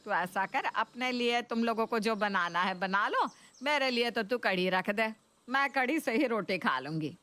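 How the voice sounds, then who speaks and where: A woman voicing an older, food-loving Indian mother-in-law who coaxes and exclaims with drawn-out words in a cartoon story. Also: fairly high pitch, animated, sharp tone. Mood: coaxing. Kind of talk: Hindi cartoon story voice acting.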